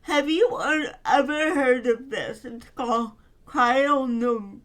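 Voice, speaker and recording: A woman co-hosting a podcast in casual chat, earnest and affectionate, her voice a bit raspy from talking. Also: high voice, fairly bright tone. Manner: slow, earnest and affectionate, with frequent fillers. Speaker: podcast co-host.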